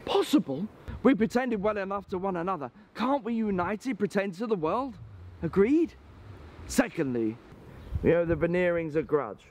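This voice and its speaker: A man's voice: high-pitched, sing-song, normal speed, a little mellow. Guy acting a cool scheming victorian husband, stagey period drama, swoops around a lot, noticeable background noise. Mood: brisk, calculating. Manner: formal, precise, argumentative, mocking retorts. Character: cool, calculating Victorian husband, a deceived fortune hunter.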